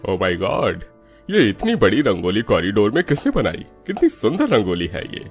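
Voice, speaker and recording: A man's voice: fairly low pitch, huge pitch swings, normal speed, faint music behind. A man voicing a stern but fair school principal, authoritative and emphatic, in a cartoon moral story. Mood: amazed.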